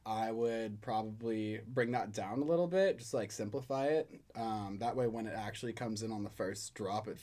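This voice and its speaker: A man's voice: lowish voice, moderate pace, few pauses, mellow. A man giving casual, laid-back feedback on a track as a guest reviewer, with many fillers.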